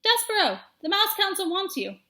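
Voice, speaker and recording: A woman's voice: very high voice, very animated, moderate pace, sharp tone, pitched above the performer's own voice, heavily acted. A woman voicing an exasperated, bossy young male mouse in a storybook, scolding and exclaiming emphatically.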